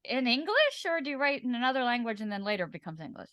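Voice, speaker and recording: Woman cohost, high voice that swoops around, eager questions. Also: big pitch swings, quick pace, a bit bright, no echo, clean studio sound. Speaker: podcast co-host. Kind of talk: casual interview chat.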